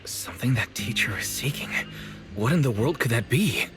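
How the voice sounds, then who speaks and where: An actor playing a troubled, bewildered young student in a game cutscene, hushed and questioning, with music behind. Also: male voice, medium pitch, lively intonation, normal speed, lightly acted. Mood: disbelieving, pained. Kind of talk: dramatic game cutscene acting.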